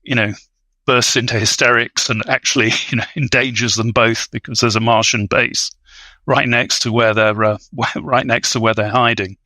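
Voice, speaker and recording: A man's voice: mid-range voice, fairly even intonation, moderate pace, bright, forceful tone, no room sound. A man on a discussion podcast speaking analytically, with an articulate, scholarly manner and bookish vocabulary. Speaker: podcast panelist.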